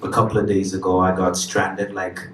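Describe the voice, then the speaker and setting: Cameroonian-born male poet with a low voice, rhythmic live delivery, recorded from afar with faint ambient noise. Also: completely flat delivery, normal speed. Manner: rhythmic, emphatic delivery with casual asides. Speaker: spoken word poet. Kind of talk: spoken word poetry performance. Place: live stage with audience. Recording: roomy, distant live recording.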